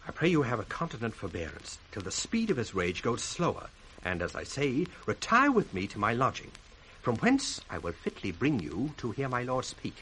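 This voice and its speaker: An actor with a British accent playing a sly, ambitious bastard son in a theatrical, sardonic 1960s radio Shakespeare style. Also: male voice, mid-range voice, huge pitch swings, moderate pace, pushed and bright, poor audio. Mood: feigned concern. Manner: theatrical, sardonic, crisply declaimed. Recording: faintly hissy 1960s radio recording.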